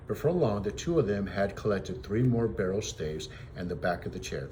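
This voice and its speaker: A man with a fairly low voice reads a children's story aloud like a teacher. His narration is expressive, and there is faint noise in the recording. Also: moderate pace.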